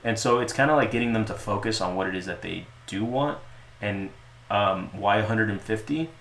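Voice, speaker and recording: A man with a lowish voice chats easily as an interview guest, joking around and often restarting his sentences. The recording sits in an echoey room with a faint hiss behind him. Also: moderate pace, gravelly. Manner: relaxed, joking, frequent restarts and fillers. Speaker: interview guest, club founder. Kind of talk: casual conversational interview.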